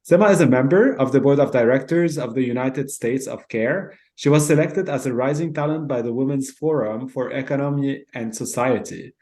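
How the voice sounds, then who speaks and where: A man's voice: medium pitch, moderate pace, few pauses, darkish tone. A man with a mid-range voice hosts a podcast. He reads his guest's introduction from a formal, prepared script. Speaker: podcast host.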